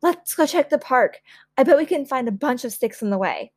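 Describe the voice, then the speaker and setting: A woman's voice: medium pitch, steady tone, normal speed, gravelly, darkish tone, no room sound, studio quality. A woman voicing an eager, pleading young girl in a children's storybook. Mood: excited. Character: eager young girl, upset then thrilled.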